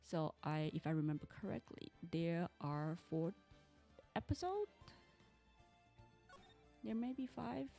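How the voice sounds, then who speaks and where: A woman, a Mandarin speaker, chats through a game stream in a low voice with a gravelly edge. She rambles and restarts her sentences as she goes. Soft game music plays faintly behind her. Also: animated, slow, long pauses. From Taiwan. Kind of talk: casual game stream chat.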